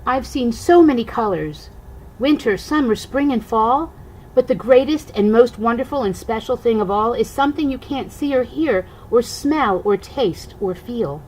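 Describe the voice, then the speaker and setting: An older woman, a grandmother, reading a rhyming children's storybook aloud in an expressive, singsong way. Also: on the high side, moderate pace, slight background noise, low-quality recording.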